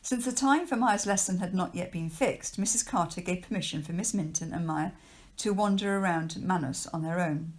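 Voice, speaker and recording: A woman's voice: lowish voice, normal speed, hardly any pauses, a little mellow. A woman, a teacher, reading a children's novel aloud as narrator. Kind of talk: storybook narration read aloud.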